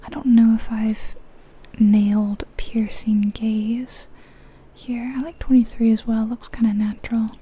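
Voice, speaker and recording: A woman whispering breathily in an ASMR style, musing aloud in an unhurried, soft way as she comments on a game. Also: on the high side, flat delivery, a little hiss or hum. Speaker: ASMR video creator.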